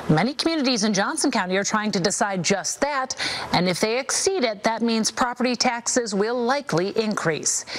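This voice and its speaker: A woman's voice: mid-range voice, a little hiss or hum. A woman with an American accent anchoring the news in a scripted broadcast delivery.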